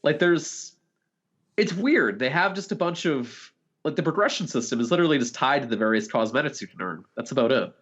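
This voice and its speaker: A man chats casually as a podcast co-host, with a lively, swinging pitch and frequent use of "like". The recording is slightly dull and lo-fi. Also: fairly quick. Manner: frequent "like" as a filler. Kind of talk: casual chat about video games.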